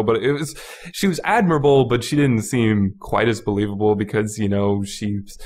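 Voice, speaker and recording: Casual, rambling man giving an off-the-cuff review. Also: on the low side. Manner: informal, rambling, frequent "you know". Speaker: podcast reviewer. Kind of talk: casual unscripted review commentary.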